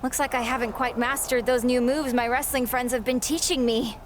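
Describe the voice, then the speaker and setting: A woman's voice: a little high, fairly even intonation, fairly quick, a little hiss or hum, natural voice. An actress playing an eager, boastful young female wrestler in a video game cutscene, chatty and upbeat with a defiant edge. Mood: upbeat, defensive.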